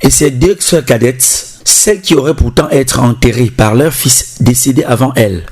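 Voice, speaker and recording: A man giving a measured, formal scripted narration as a voice-over. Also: medium pitch, a little mellow, slightly rough.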